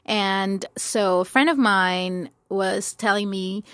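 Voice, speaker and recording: A woman's voice: mid-range voice, slow pace, a bit bright, smooth, no echo. A woman born in India who grew up in France, telling stories casually as an interview guest. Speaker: guest, style consultant.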